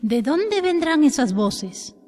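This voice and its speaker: A woman voicing a puzzled, kindly male village shopkeeper in a children's story, gentle and wondering. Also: high voice, big pitch swings, slow, full character voice. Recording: long reverberant echo.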